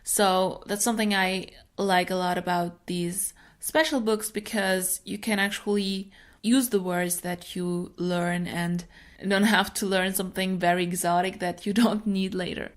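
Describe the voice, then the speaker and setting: A woman's voice: medium pitch, steady tone, measured pace. A young adult woman hosting a solo podcast, a native German speaker talking in a friendly, explanatory way. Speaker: podcast host.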